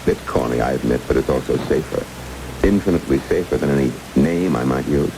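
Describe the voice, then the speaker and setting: A very low, creaky-voiced kidnapper from an old radio play. He speaks with smooth, unhurried courtesy that carries menace. Hiss and rain sit clearly over the broadcast. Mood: smooth, controlled. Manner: unhurried, mock-genial, menacing politeness. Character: smooth, courteous kidnapper who sells state secrets. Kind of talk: vintage radio drama acting.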